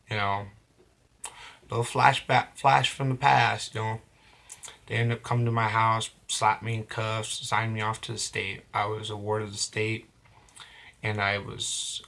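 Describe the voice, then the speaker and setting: A young man with a bright voice roughened by a cold talks straight to camera. He tells a confessional story in a halting, rambling way, often stopping mid-phrase to correct himself. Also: lowish voice. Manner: rambling, halting, frequent restarts and self-corrections. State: hoarse from a cold. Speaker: vlogger. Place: at home.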